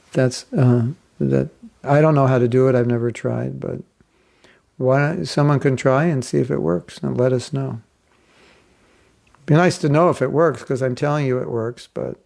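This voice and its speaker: A man's voice: mid-range voice, vocal fry, darkish tone. A man giving a casual, joking class as a spiritual teacher, chatty and rambling. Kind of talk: casual online class talk.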